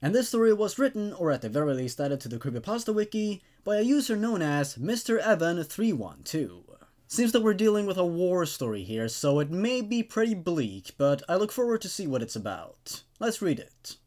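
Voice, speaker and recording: A man hosting and narrating horror stories in an expressive, dramatic way, with casual asides. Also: high-pitched, moderate pace. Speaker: host reading horror stories.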